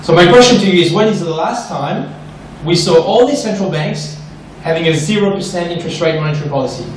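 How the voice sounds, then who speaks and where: A man with a French accent gives an animated investment seminar to an audience, often checking in with "right?". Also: high-pitched, quick pace, slight background noise. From France. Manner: animated, asks the audience questions, frequent "right?". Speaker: investment specialist presenter. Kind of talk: seminar presentation. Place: large echoey room with an audience. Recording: echoey room from far off.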